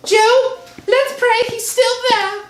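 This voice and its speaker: Very high-pitched, anxious, pleading wife. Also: female voice, steady tone, takes it slowly, reverberant, soft background music. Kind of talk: old-time radio thriller acting.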